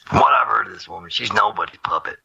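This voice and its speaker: A man playing a gruff, plain-spoken older military man with a raspy, gravelly voice in an audio drama. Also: fairly low pitch, fast talker, sharp tone, highs cut off, exaggerated voice. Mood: gruff, assured. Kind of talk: audio drama acting, outtakes.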